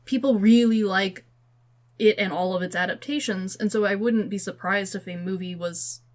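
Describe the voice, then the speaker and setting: A woman's voice: mid-range voice, normal speed, darkish tone. Chatty, opinionated woman podcaster. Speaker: podcast host. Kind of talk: casual podcast discussion.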